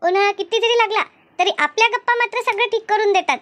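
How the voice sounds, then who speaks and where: Lady doing a super high squeaky cartoon village woman, gossipy and good-humoured, rural maharashtra accent. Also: fast talker, a bit bright. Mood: cheerful. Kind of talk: animated cartoon comedy dialogue.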